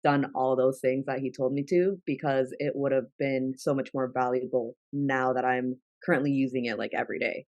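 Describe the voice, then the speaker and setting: A woman's voice: rumbling low, fairly even intonation, moderate pace, few pauses, a little mellow, no room sound, lo-fi sound. Young woman guest, Spanish first language, chatty with fillers, dry close-mic sound. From New York. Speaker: guest, travel content creator. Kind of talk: casual interview talk.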